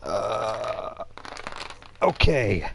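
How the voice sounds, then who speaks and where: A man's voice: fairly low pitch, sing-song, extremely slow, gravelly, a little hiss or hum. A man narrating a tabletop game casually as game master, improvising with chatty asides.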